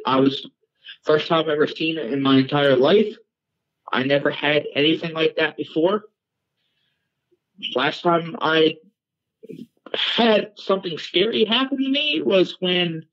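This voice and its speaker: A man giving casual interview answers as a guest, halting and plain-spoken. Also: fairly high pitch, muffled recording. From born in Norway, raised in Newfoundland, Canada. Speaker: guest, paranormal investigator and medium.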